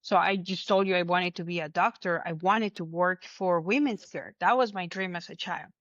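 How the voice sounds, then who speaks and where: A woman from Mexico City with a Mexican Spanish accent, a native Spanish speaker, giving an earnest, animated interview from home over a remote call. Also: lowish voice, moderate pace, no echo, highs cut off. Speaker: interview guest. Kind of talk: conversational interview.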